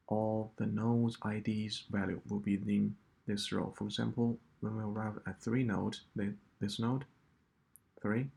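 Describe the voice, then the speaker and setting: Man, programming tutor, gravelly voice, halting step-by-step explanation. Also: fairly low pitch, a little mellow. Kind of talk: walkthrough of a coding solution.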